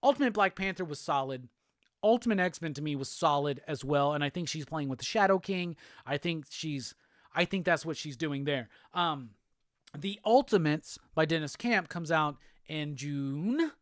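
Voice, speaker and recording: A man from Alabama with a Southern accent, hosting a casual live show and answering chat comments in a relaxed, rambling way. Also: on the high side, normal speed, no echo, clean studio sound. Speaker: host.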